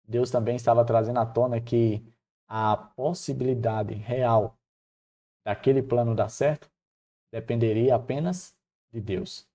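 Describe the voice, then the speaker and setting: Male devotional teacher, Northeastern Brazilian accent, mid-pitched warm relaxed voice, expressive storyteller. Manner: expressive, acts out dialogue colloquially, rhetorical questions. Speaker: Bible lesson presenter.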